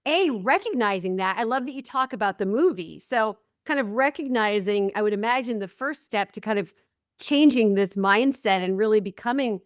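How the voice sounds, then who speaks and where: A woman's voice: mid-range voice, lively intonation, normal speed, no room sound, studio quality. A woman from the United States hosting a podcast interview, speaking in an affirming, enthusiastic way. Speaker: podcast host. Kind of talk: interview host.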